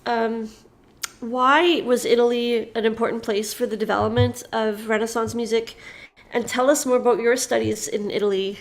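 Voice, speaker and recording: A woman hosting an interview, enthusiastic and quick to laugh as she asks her questions. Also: on the high side, fairly even intonation. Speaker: host. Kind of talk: interview host.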